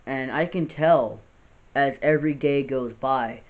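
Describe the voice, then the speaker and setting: Gravelly young man, tinny phone-like sound. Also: mid-range voice, unhurried. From United States. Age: 20s. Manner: earnest, rambling with restarts. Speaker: vlogger. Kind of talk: unscripted serious vlog. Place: house with poor lighting.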